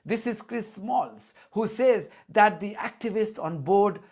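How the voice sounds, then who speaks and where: A woman's voice: medium pitch, takes it slowly, a little mellow. A woman delivering scripted commentary in an indignant, emphatic manner.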